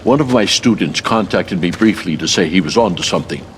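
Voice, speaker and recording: An actor playing an older martial arts teacher in a video game, grave and formal. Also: male voice, low-pitched, animated, brisk, slight background noise. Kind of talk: video game cutscene voice acting.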